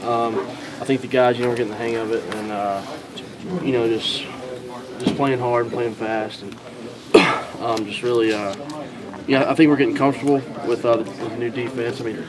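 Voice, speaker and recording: A young man as a college football player, answering reporters in a halting way with many fillers and restarts, recorded on handheld recorders held close to him. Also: mid-range voice, steady tone, a crowd in the background, poor audio. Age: young adult. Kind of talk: post-practice media scrum answers. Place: indoor hallway, crowd of reporters.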